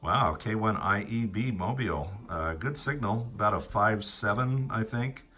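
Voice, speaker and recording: A middle-aged American man chatting casually as an amateur radio operator, with a halting, pause-filled delivery. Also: fairly low pitch, pushed and bright. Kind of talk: casual ham radio conversation.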